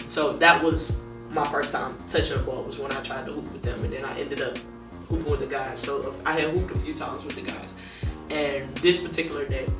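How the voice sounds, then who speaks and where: A young woman from with a deep, monotone voice tells a personal story in a relaxed, rambling documentary interview. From Detroit. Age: young adult. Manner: laid-back, rambling, heavy with fillers. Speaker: college basketball player. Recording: roomy echo, distant mic, music behind.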